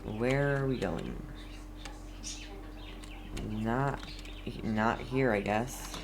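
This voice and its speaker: A man streaming a video game with casual, playful commentary. He talks slowly and haltingly, often muttering, while game ambience is clearly audible behind him. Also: mid-range voice, background noise.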